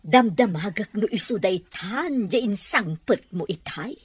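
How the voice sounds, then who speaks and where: Madamdaming mayamang ina, paos at matapobre. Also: female voice, mid-range voice, animated, measured pace, slightly rough, no echo. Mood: suspicious. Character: wealthy middle-aged mother, snobbish, suspicious, theatrical. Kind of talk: Ilocano radio drama acting.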